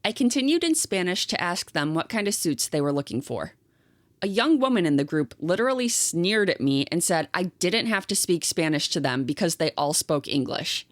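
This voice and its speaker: A woman co-hosts a casual podcast, reading online stories aloud and chatting agreeably between them. Her voice sits on the low side but moves a lot in pitch. The sound is dry and close, with no room echo. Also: moderate pace. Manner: chatty, agreeable, reads stories in a steady storytelling voice. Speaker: podcast co-host.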